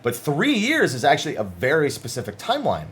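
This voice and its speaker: A man's voice: mid-range voice, huge pitch swings, a little fast, a bit bright. A man hosting gaming news commentary, talking casually and emphatically as he sums up interviews in an informal, conversational way.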